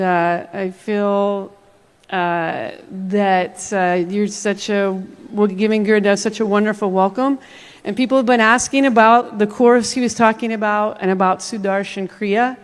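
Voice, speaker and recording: A woman's voice: mid-range voice, clear, resonant tone. A woman with a non-local accent making announcements to an audience, hesitant with many fillers. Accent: foreign to Jamaica. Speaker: event organizer making announcements. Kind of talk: public announcement. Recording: long hall reverb.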